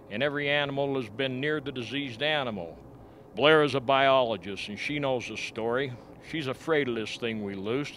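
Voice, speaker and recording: Guy playing a grim scientist doc in a radio drama, explains stuff slowly and plainly, bit of noise behind. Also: medium pitch, a bit bright, close to an everyday voice. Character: grim, frank male scientist doctor at a polar outpost. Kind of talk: amateur radio play acting.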